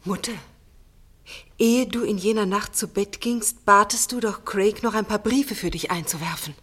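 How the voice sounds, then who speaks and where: An actress playing a shaken, earnest daughter in a German radio play, with deliberate dramatic phrasing. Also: female voice, mid-range voice, a bit bright, breathy edge. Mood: agitated, earnest. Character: Maura, a shaken, earnest daughter recounting grim events.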